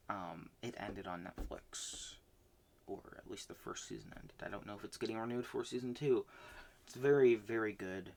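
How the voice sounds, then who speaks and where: Slow, rambling male manga reviewer. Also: lowish voice. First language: not Japanese. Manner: rambling, frequent fillers and restarts. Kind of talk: casual monthly reading recap.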